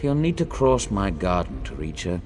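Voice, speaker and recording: A man's voice: deep voice, sing-song, moderate pace, a little mellow, faint music behind. A man voicing a hapless, peevish apprentice gardener in fantasy video game dialogue, given to muttered asides. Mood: annoyed.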